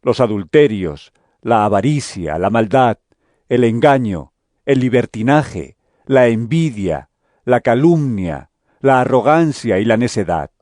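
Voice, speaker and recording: An actor playing a solemn, authoritative male teacher and healer in a dramatized scripture audio drama, speaking in a measured, deliberate way. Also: medium pitch, lively intonation, darkish tone, no echo, studio quality, close to an everyday voice. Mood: solemn teaching.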